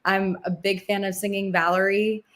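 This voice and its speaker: A woman in her twenties, a singer-songwriter guest with a slightly raspy voice, chatting casually and saying "like" a lot. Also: mid-range voice, flat delivery, normal speed, a bit bright. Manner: chatty, uses fillers like "like". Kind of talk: casual interview chat.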